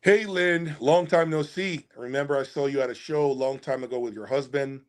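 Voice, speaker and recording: A man's voice: medium pitch, normal speed. A man hosting a casual livestream about audio gear, answering viewers in an emphatic way and repeating phrases for emphasis. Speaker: host of an audio gear channel.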